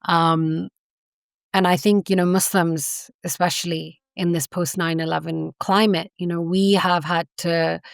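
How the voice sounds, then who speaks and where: Low gravelly woman, earnest interview guest. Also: no room sound, studio quality. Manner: earnest and impassioned, with many "you know" fillers. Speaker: academic guest on a podcast. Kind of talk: conversational interview.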